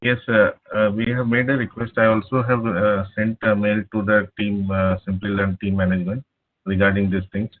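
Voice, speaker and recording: A man teaching a live online programming class over a speakerphone, pausing often with "uh". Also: on the low side, flat delivery. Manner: halting, many filler "uh"s. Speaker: programming instructor. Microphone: laptop speakerphone, no headset. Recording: tinny phone-like line.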